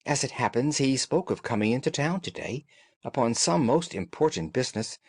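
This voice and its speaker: A man voicing a distraught young gentlewoman in an audiobook, speaking earnestly and formally, with some guardedness. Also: medium pitch, animated, normal speed, breathy edge, no echo. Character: distraught, frightened young gentlewoman confiding her trouble.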